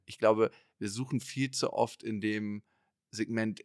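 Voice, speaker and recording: A man in a relaxed podcast conversation, talking in long loose trains of thought with many fillers. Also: lowish voice, a little slow, dry recording, clean studio sound. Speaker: podcast guest and entrepreneur.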